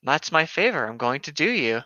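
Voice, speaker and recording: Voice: mid-range voice, very animated, fairly quick, sharp tone, no echo. A co-host doing a playful, theatrical comedic read-aloud on a slightly dull microphone. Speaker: co-host reading horror stories for laughs.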